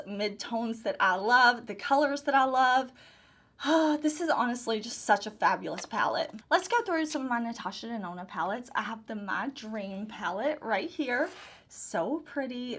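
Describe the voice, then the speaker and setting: Woman, 30s, enthusiastic beauty vlogger, higher-pitched, chatty and effusive. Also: fairly bright tone. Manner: gushing, enthusiastic, repeats phrases. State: hoarse, recovering lost voice. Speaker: beauty YouTuber. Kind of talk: casual product overview.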